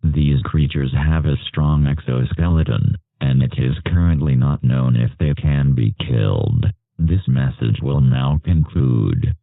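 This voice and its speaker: A man with a gravelly, synthetic-sounding voice reads a scripted emergency alert in a flat, formal manner. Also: extremely low pitch, unhurried. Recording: echoey, narrow phone-like alert broadcast.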